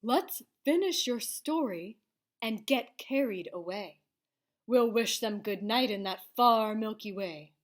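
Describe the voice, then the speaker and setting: A friendly big-nosed storybook monster, voiced by a woman reader. It is mischievous and eager to share adventures. The speech is slow and animated. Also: fairly high pitch.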